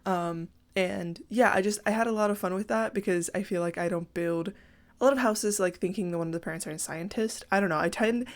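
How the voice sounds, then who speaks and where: A young woman with a congested, slightly raspy morning voice, rambling casually through a voice-over about a house she built in a game. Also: medium pitch, fairly quick. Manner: rambling, self-correcting, apologetic. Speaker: game building creator.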